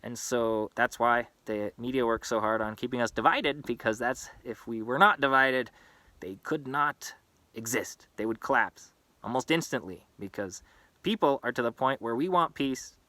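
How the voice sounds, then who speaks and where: A man's voice: medium pitch, moderate pace, pushed and bright, dry recording. A man who grew up in East Africa, talking in an earnest, impassioned, unscripted monologue to his own channel. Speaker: vlogger.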